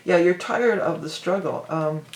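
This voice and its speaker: A hoarse woman with a gravelly, creaky edge rambles through a casual tarot reading, full of fillers and restarts. Her voice sits in a roomy, echoey space with a faint hiss underneath. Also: lowish voice, a little slow. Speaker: tarot reader.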